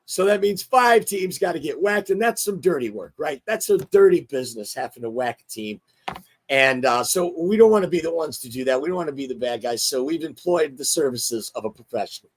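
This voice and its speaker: A hoarse man hosting a casual sports talk show, chatty and excitable. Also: on the high side, brisk. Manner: chatty, excitable, restarts often. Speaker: show host.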